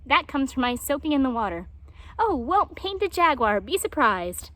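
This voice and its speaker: A woman's voice: sits high, very animated, moderate pace, bright, forceful tone, no echo, exaggerated voice. A woman voicing a chatty, eager male hedgehog in a storybook, fussy, polite and pleased with himself.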